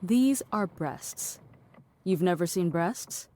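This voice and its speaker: Woman playing a deadpan, low-voiced cynic. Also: takes it slowly. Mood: dry, irritated. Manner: deadpan, wordy, dismissive. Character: cynical, blunt, philosophising woman in a trench coat. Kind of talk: audio drama acting.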